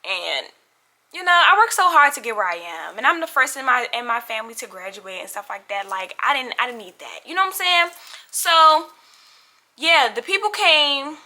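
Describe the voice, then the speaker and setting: Young american girl doing a dramatic storytime, super bright high voice, slangy, acts out what ppl said. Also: lively intonation, moderate pace. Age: young adult. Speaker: storytime vlogger. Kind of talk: casual animated storytime.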